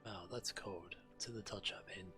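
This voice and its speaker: A man's voice: sits low, fairly even intonation, moderate pace. A man streaming a video game and reading its quest text aloud. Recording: loud game music behind. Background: video game music.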